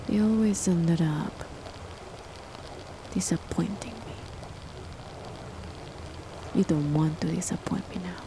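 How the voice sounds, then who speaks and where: Woman as a cold, condescending chemist spouse for an ASMR horror roleplay; slow, low, fry-edged whispers over steady rain. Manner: soft, measured, condescending, often whispered. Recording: noisy with rain behind.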